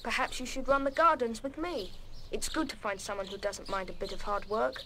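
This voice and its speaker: A woman's voice: on the high side, brisk, fairly bright tone, slight background noise. A woman playing a sensible, encouraging English schoolboy in an audio drama. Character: sensible, encouraging schoolboy prefect who loves gardening. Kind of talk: full-cast audio drama acting.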